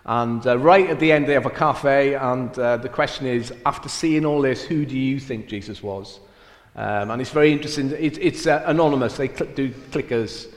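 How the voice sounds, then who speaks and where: A man's voice: mid-range voice, moderate pace. A middle-aged man from Wales with a mixed Welsh and Cockney accent, a mission field director chatting in a self-deprecating way with many fillers during a church interview. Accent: Welsh with Cockney mix. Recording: echoey church hall, long reverb.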